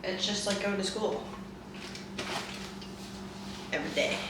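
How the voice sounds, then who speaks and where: A woman's voice: mid-range voice, takes it slowly. Congested young woman vlogging in echoey noise. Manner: self-deprecating, rambling. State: congested, stuffed-up nose. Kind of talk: casual vlog chat. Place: indoors at home, in a kitchen. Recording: echoey room, distant mic, loud noise.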